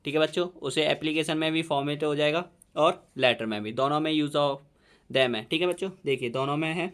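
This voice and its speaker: A man with an Indian accent teaching students, dictating a letter slowly phrase by phrase and mixing in explanations. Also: a little high, a bit bright, clear, resonant tone. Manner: slow, repeating each phrase as he writes; mixes Hindi explanation with dictated English.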